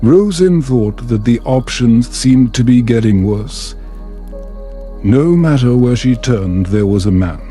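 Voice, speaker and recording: A man reading a bedtime story as calm, scripted ASMR narration in a measured, soothing and gentle manner. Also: mid-range voice.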